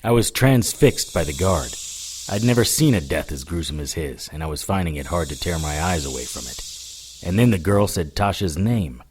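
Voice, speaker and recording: Deep dry sarcastic action hero telling his story, audio drama, slight hiss behind him. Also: expressive, normal speed, a little mellow. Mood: tense, sardonic. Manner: dry, sardonic. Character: wry, hard-boiled male hero narrating his exploits. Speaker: narrator and lead character. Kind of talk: first-person scripted audio drama narration.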